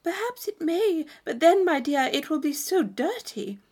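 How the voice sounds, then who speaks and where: A woman's voice: extremely high pitch, very animated, unhurried, darkish tone, pitched above the performer's own voice, full character voice. A woman voicing a placid, complacent married lady in a storybook, prattling on about trifles. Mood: fretful.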